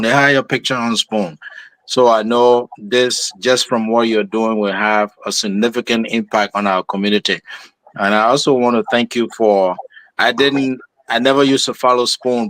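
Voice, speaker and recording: A man from Liberia with a Liberian accent, a pastor and candidate giving an earnest, polite, measured address as a guest. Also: fairly low pitch, fairly bright tone, no echo, poor audio. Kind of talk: earnest spoken address of thanks and appeal.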